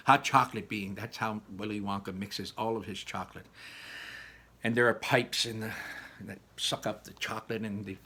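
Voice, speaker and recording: A man informally recapping and narrating a children's story as a read-aloud host, halting and repeating words for emphasis. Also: lowish voice, fairly even intonation, bright, forceful tone.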